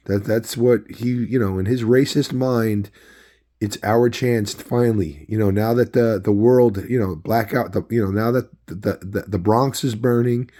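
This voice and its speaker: A man who is losing his voice, telling a long personal story in a rambling, halting way with many fillers. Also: mid-range voice, warm tone. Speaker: video host. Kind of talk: casual personal storytelling to camera.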